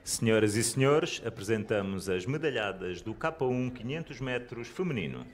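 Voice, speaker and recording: A man's voice: lowish voice, big pitch swings, brisk, faint music behind. A man announcing a medal ceremony in a formal, projecting manner. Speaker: venue announcer.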